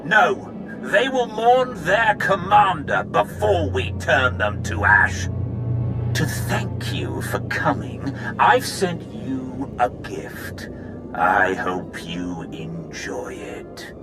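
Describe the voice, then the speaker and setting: Bitter menacing sith villain, video game style, real slow and theatrical, pitch swings a lot, music behind. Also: male voice, animated. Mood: gloating threat. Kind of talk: game cutscene acting.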